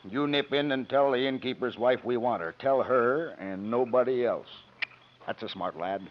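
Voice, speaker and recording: A man's voice: medium pitch, normal speed, close to an everyday voice. An actor playing a grave, commanding man with a terse manner in a 1950s radio drama. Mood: hushed command. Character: grave man taking charge at an accident. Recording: muffled, noisy old radio broadcast.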